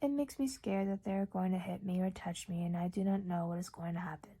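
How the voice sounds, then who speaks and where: Soft-spoken woman reading an audiobook. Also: on the low side, steady tone, a little fast, slightly rough. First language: Urdu. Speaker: audiobook reader. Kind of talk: audiobook narration.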